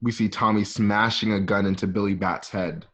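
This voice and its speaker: Male narrator for a script table read: fairly low voice, reading scene directions, slightly dull lo-fi home recording. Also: fairly even intonation, normal speed, fairly bright tone.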